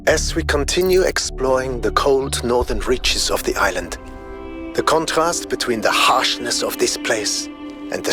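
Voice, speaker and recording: A man playing a grave, philosophical robot explorer who scorns nature, delivering a measured, eloquent game audio log monologue. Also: lowish voice.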